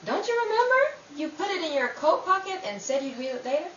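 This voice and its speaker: A woman playing a jealous, sharp-tongued wife in a 1930s-style radio comedy drama, with sarcastic, theatrical delivery. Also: very high-pitched, sing-song, brisk, dull audio, full character voice. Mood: cool suspicion. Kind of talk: old-time radio comedy-drama acting. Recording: roomy, distant, faint background noise.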